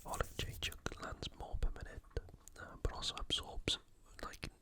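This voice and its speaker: An ASMR host speaking entirely in whispers, giving informal, unscripted sports preview commentary and thinking aloud. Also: normal speed.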